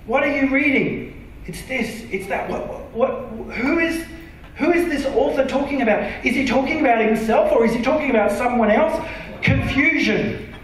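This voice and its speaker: An Australian man preaching a sermon from a church stage, earnest and emphatic, sometimes dropping to a soft, intimate tone. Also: extremely high pitch, normal speed, slight background noise. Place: large dark church auditorium stage. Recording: very echoey hall from far off.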